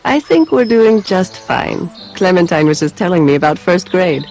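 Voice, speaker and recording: A kind, weary mother in a game drama. Her voice is fairly low and mellow, her delivery soft and reflective. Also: sing-song, normal speed, vocal fry, soft background music, poor audio. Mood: kindly. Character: gentle, weary mother and veterinarian, adult woman. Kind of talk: naturalistic video game acting.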